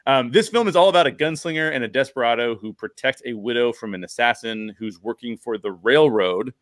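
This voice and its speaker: A man's voice: medium pitch, fairly quick, a bit bright, dry recording. A middle-aged man with an American accent, hosting a podcast in an enthusiastic, informal, conversational way into a close microphone. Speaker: podcast host. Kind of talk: conversational podcast introduction and film discussion. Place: bedroom at home. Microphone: close podcast microphone with headphones.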